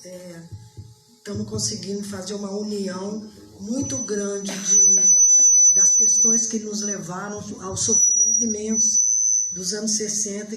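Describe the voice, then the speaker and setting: A woman from Brazil with a Brazilian Portuguese accent, speaking from the audience slowly and searching for words. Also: medium pitch. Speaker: audience member. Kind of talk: public comment at a debate. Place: event hall. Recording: distant mic in an echoey hall with loud noise.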